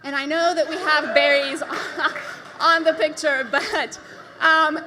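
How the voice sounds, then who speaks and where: A woman's voice: high-pitched, steady tone, slow pace, background noise. A woman from Bulgaria telling jokey stories on stage, giggling between lines. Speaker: presenter at a live talk night.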